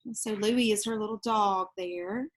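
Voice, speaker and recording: A woman's voice: mid-range voice, unhurried. A woman reading a children's storybook aloud expressively, with casual asides explaining the pictures to young listeners. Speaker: storybook reader.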